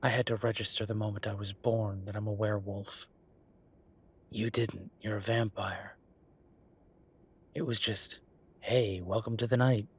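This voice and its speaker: Husky, low-voiced wry werewolf boyfriend played by a man. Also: steady tone, a little slow, long pauses. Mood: teasing. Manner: casual, teasing, conversational with soft murmurs. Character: male werewolf bureaucrat, wry, affectionate, teasing boyfriend. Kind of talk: intimate roleplay audio drama.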